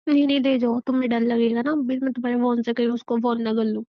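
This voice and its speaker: A woman's voice: a little high, flat delivery, fast talker, natural voice. A woman playing a resentful, wounded wife in an audio drama, reproachful and stubborn with a plaintive delivery. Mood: stubborn, curt. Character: wounded, resentful young wife, defiantly rebuffing her husband. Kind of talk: Hindi audio drama dialogue, emotional acting. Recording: muffled phone-like sound with room echo.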